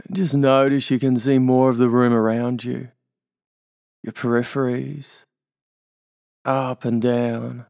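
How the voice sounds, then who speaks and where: A man's voice: medium pitch, flat delivery, takes it slowly, creaky, long pauses, a little mellow, no echo, clean studio sound. A man with an Australian accent leading a guided hypnosis induction, giving soothing suggestions and tag questions.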